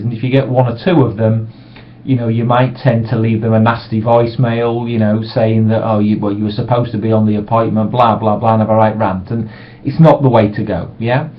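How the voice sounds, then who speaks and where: A middle-aged man, giving a casual, reassuring sales training talk from a small room. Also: mid-range voice. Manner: relaxed, reassuring, acts out sample voicemails brightly. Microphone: webcam microphone. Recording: dull lo-fi webcam audio.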